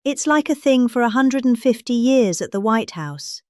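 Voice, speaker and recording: A woman's voice: on the high side, lively intonation, normal speed, darkish tone, no room sound, studio quality. A middle-aged woman with a British accent giving a dramatic reading of a rambling political speech, with theatrical, exaggerated emphasis.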